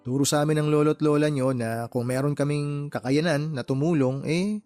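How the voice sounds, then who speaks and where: A man's voice: on the high side, steady tone, normal speed, a little mellow, dry recording, soft background music, natural voice. A man playing a caring, determined uncle in a radio drama, earnest, with a Filipino accent. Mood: earnest, encouraging. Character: caring, determined uncle who supports his niece's studies.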